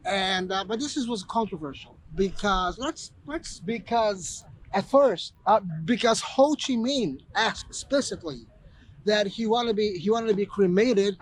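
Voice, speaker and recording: A young man with a notably high voice talks to camera outdoors, rambling and restarting his sentences as he goes. A faint hum of outdoor noise sits behind him on the location recording. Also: lively intonation, measured pace, poor audio. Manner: rambling, with many restarts and fillers. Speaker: travel vlogger. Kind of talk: casual travel vlog to camera. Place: outdoors at a bay and a city landmark.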